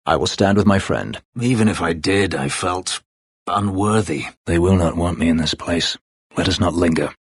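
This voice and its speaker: Brooding elf warrior for a game, low husky gravelly voice, bitter and terse, super dry close recording. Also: male voice, normal speed, no room sound. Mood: solemn. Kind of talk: video game character voice lines.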